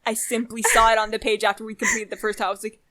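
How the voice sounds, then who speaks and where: Young woman podcast chat, kinda high voice, animated storytelling, says like a lot. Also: racing. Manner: animated, frequent "like" and quoted reactions. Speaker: podcast co-host. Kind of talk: casual chat, retelling a game.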